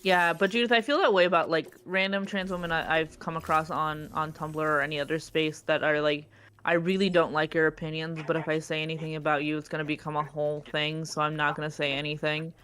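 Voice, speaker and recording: A co-host who speaks English as a second language, rambling with many restarts in casual stream chat. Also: female voice, low voice, little pitch movement, brisk, fairly bright tone. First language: not English.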